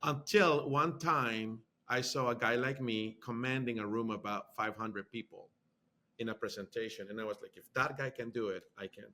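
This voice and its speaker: A man's voice: medium pitch, normal speed, sharp tone. A middle-aged man from Honduras with a Spanish accent, a guest answering questions in a podcast interview in an earnest, emphatic way that repeats key phrases. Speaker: guest, marketing executive.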